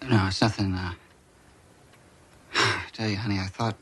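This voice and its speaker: A middle-aged man playing a gentle, playful father in a naturalistic TV drama, tender and coaxing. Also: lowish voice, little pitch movement, normal speed, husky. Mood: shaken, subdued. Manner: tender, coaxing, often hushed. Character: gentle, playful father soothing his frightened child.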